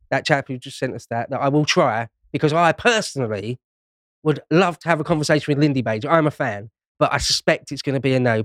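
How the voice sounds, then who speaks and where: A man's voice: a little high, fairly bright tone, no echo, clean studio sound. A man talking casually as a panellist on a podcast.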